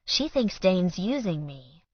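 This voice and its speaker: A woman voicing a guarded young woman who speaks softly, slowly and carefully. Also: on the low side, very animated, no echo, lo-fi sound, full character voice.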